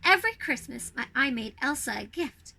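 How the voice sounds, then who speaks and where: A woman's voice: high-pitched, animated, moderate pace, vocal fry, pushed and bright, exaggerated voice. A woman voicing an affectionate, earnest young princess in a storybook, speaking expressively. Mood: tender.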